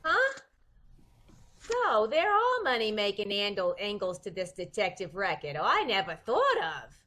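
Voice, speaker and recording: A woman's voice: mid-range voice, huge pitch swings, unhurried, pushed and bright, exaggerated voice. A woman playing a guileless, slangy, good-hearted dame in an old-time radio detective comedy. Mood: curious, naive.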